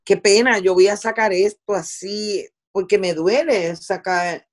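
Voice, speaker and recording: A middle-aged woman with a Puerto Rican accent and a medium-pitched voice. She recounts her working life as a journalist in a conversational way, repeating words for emphasis. English words slip into her Spanish. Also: unhurried. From Puerto Rico. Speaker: interviewee, veteran television journalist. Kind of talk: conversational recollection in an interview.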